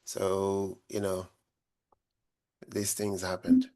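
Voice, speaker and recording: A man hosting a casual online meetup on a video call, calm and helpful. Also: low-pitched, extremely slow. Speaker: meetup host.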